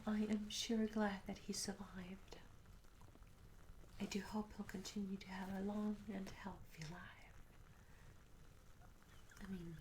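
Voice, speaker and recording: A woman playing a curious, polite medieval tavern maid in an ASMR roleplay, speaking in a soft, breathy whisper with gentle, hesitant, old-fashioned courtesy. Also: medium pitch, natural voice, poor audio. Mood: hushed, curious. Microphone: close ASMR microphone. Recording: close whisper over audible ambience noise. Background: candlelit tavern ambience.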